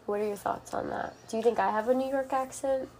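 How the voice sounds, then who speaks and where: A woman's voice: a little high, fairly even intonation, fast, darkish tone. A young woman chatting casually in a bedroom, upbeat and filling her speech with "like". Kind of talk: casual chat.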